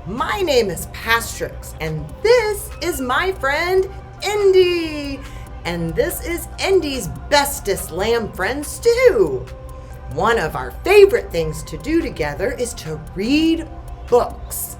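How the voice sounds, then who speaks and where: A woman hosting a children's story time, reading a picture book in an expressive, sing-song way and speaking to young children. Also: high-pitched, slow pace, soft background music. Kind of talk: animated children's picture-book read-aloud.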